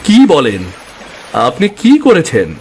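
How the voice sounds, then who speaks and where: A man's voice: on the high side, huge pitch swings, unhurried, a little hiss or hum. A man voicing a poor, honest, lovestruck young village boatman in a cartoon tale, polite and emotional. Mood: alarmed. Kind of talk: Bengali fairy-tale cartoon dialogue. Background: music.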